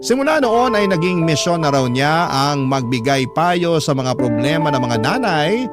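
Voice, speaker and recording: A man's voice: a little high, very animated, normal speed, loud music behind the voice. A man reading a first-person letter as dramatic scripted narration for a radio drama.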